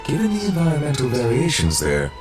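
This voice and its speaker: A man's voice: medium pitch, wildly swooping pitch, fast, over music. A man voicing a dignified, courteous official in a video game, speaking in a stately, formal manner.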